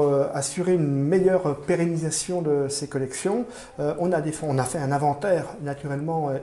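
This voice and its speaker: Man, fairly high mellow voice, formal interview answers, halting measured phrasing, echoing room. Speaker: museum curator being interviewed. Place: indoor room in a museum. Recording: roomy, echoing indoor sound.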